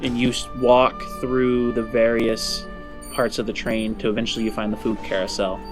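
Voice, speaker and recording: A man's voice: mid-range voice, level tone, moderate pace. Man narrating as game master, music underneath. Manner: descriptive storytelling. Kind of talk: narrating a tabletop roleplaying game.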